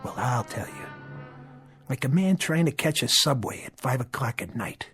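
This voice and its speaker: An actor playing a menacing, sardonic gangster boss, mocking and coolly controlling in a radio drama. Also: male voice, medium pitch, very animated, moderate pace, a bit bright. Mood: mocking, cold. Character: menacing, sardonic gangster boss who needles his underling. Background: music.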